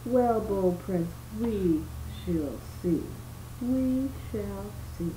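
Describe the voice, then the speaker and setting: A woman voicing a wicked old witch from a storybook, stern and gloating, with slow, drawn-out menace. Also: mid-range voice, expressive, mellow. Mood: sly gloating. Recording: roomy home recording with background noise.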